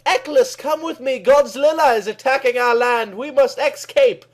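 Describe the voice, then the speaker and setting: A man's voice: very high-pitched, moderate pace, pitched above the performer's own voice. A man voicing a brave, defiant young Roman warrior girl in a storybook tale, with exclamatory declarations. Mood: urgent, determined.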